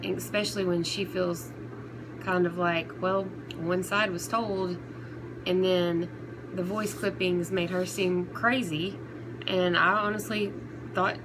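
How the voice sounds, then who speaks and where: A woman talking casually and unscripted to her viewers as an online commentary host, in a halting, rambling, conversational way. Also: on the low side. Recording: clear background noise throughout.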